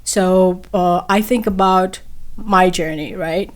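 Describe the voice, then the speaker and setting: A woman's voice: lowish voice, fairly even intonation, slow pace. A young woman from Nepal, a guest on a podcast interview, speaking hesitantly with many fillers and restarts. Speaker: guest, PhD candidate.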